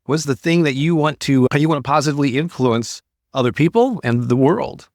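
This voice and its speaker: A man's voice: a little high, animated, a little fast, a little mellow, no echo, clean studio sound. An older man hosting a motivational talk for an online audience, speaking in a conversational, encouraging way and stressing key words. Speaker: host, motivational creativity coach.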